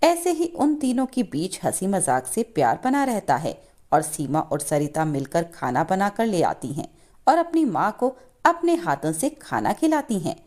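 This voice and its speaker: A woman's voice: lowish voice, big pitch swings, measured pace, darkish tone. A woman narrating a scripted moral story as storyteller.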